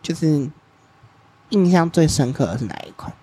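Husky, higher-voiced chatty male host. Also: expressive, moderate pace, warm tone. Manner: chatty, long explanations. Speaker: podcast host. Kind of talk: casual interview chat.

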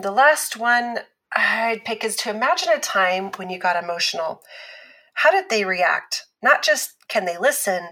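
An actress playing a thoughtful relationship expert who explains things in a measured way as an interview guest. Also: female voice, mid-range voice, pushed and bright. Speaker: expert guest on a talk show. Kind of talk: scripted interview in a fiction podcast.

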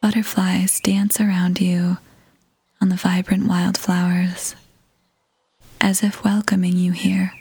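A woman leading a scripted guided meditation in a soft, breathy, whispery voice, soothing and gentle, with long pauses. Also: lowish voice, little pitch movement. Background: music.

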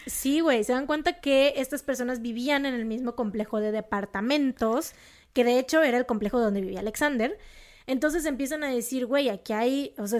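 A young woman with a northern Mexican accent from Monterrey, co-hosting a podcast and telling a true crime story in a slangy, emphatic, casual way. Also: on the high side. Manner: slangy, emphatic, animated outbursts.

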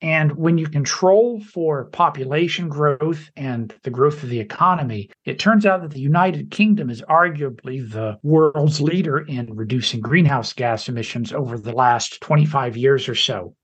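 A man co-hosting a podcast, reading an introduction in a measured, slightly halting way. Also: fairly high pitch, slightly rough. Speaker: podcast co-host.

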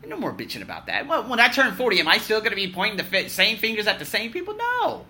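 A man in his thirties talks straight to camera in a lively, swooping voice that leaps high on a punchline and drops low on an aside. His tone is very bright and a touch high for a man. He is blunt and swears freely. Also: fast talker, slight background noise. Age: 30s. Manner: animated, emphatic, swinging from loud boasts to low muttering. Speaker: vlogger. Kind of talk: personal year-in-review monologue.

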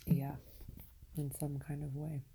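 Woman, podcast host, personal monologue, narrow flat-leaning intonation, slow halting pace, husky, weary, downcast, candid. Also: sits low, soft background music. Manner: halting, searching, with long pauses; candid, casually profane. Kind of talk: unscripted personal monologue, confessional.

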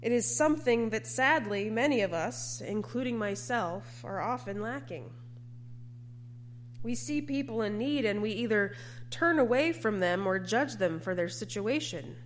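A woman preacher delivering a scripted sermon in an earnest, measured way, often posing rhetorical questions. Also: on the high side, lively intonation, slight background noise.

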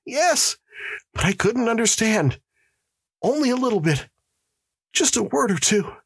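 A man's voice: extremely high pitch, very animated, a little slow, breathy edge, voice pushed up, exaggerated voice. A man voicing a terrified, guilt-crazed prisoner in an audiobook, gasping and pleading incoherently. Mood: frightened, confessing. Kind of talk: audiobook character acting.

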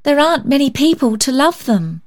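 A high, eager little girl from a storybook, played by a woman reader. She is cheerful and tender-hearted. She speaks in earnest, excited exclamations. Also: normal speed. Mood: pleading.

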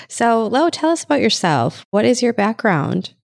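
A woman's voice: on the high side, big pitch swings, normal speed, vocal fry. A woman hosting a podcast who opens with a scripted intro and then interviews her guest encouragingly. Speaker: podcast host.

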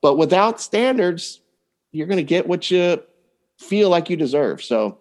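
A man's voice: sits high, animated, a little slow. A man giving conversational advice as a coach and podcast guest, often voicing hypothetical remarks as he explains.

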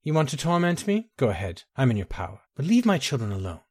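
A man's voice: medium pitch, sing-song, fast, darkish tone, no room sound, studio quality. A man voicing a proud, wounded widowed queen in a fantasy story, with a formal, guarded and emotional delivery. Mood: icy defiance.

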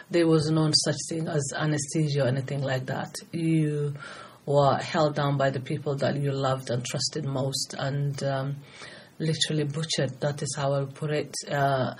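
Woman, middle-aged, deep breathy voice, earnest and chatty. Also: a little mellow. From Somalia. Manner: earnest, passionate, talkative, frequent restarts. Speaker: guest, anti-FGM campaigner. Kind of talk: podcast interview, personal testimony.

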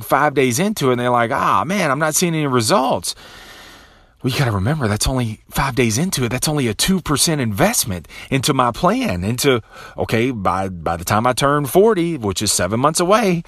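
A man in his late thirties hosting a motivational podcast, talking casually and profanely and tossing in "man" and "bro", with animated bursts and low, quiet asides. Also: no room sound, clean studio sound. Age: 30s. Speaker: podcast host. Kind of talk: motivational monologue.

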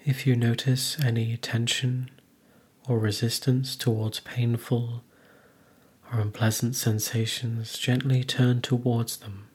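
A man leading a guided meditation, speaking gently in measured phrases with long pauses. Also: mid-range voice, flat delivery, slightly rough. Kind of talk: guided meditation, soothing instruction.

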